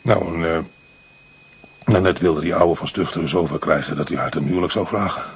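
An actor in a radio play, playing a distraught, guilt-ridden poor painter who stammers, halts and repeats himself. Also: male voice, low-pitched, a little fast, husky, exaggerated voice. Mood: subdued. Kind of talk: Dutch radio play acting.

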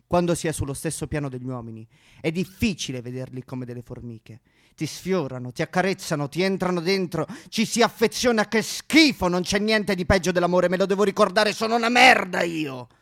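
A man's voice: high voice, a little fast, fairly bright tone. A male actor playing a bitter, self-loathing misanthropic man in a histrionic theatrical comic monologue. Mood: scornful. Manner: histrionic, swinging from muttering to outbursts with spitting noises. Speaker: stage monologue performer.

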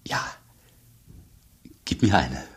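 An actor in a German radio play, a man playing a humble, earnest elderly monk who speaks in a hushed, very husky voice. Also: very slow. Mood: quiet, moved. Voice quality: very husky, breathy.

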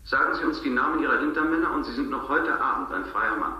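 An actor playing a cold state interrogator who asks curt, clipped questions in a 1970s radio drama. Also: male voice, mid-range voice, expressive, quick pace, distant mic, lightly acted. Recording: dull, echoey, off-mic.

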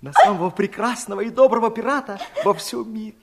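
A performer playing a resourceful, loyal young gardener in a storybook audio play, brisk and reassuring with a cheeky streak. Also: mid-range voice, gravelly, noisy background, natural voice. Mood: reassuring, urgent. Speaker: actor in an audio fairy-tale play. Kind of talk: theatrical storybook radio play acting.

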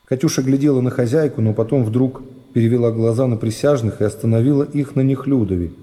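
A man's voice: mid-range voice, fast talker, dark tone. A man narrating a classic novel for a radio play in a dramatic literary style.

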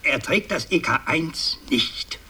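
An actor playing a pompous, fussy older aristocratic patriarch in a radio drama, speaking in a halting, ceremonious, long-winded way. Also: male voice, on the high side, animated, sharp tone, slightly rough, heavily acted. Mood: solemn, fussy. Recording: distant, noisy 1960s radio recording.

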